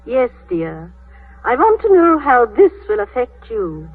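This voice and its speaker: A woman's voice: fairly high pitch, very animated, a little slow, husky, exaggerated voice. An actress playing a tender, steadfast wife of a statesman in a 1930s radio drama. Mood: concerned, tender. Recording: muffled, thin 1938 radio broadcast.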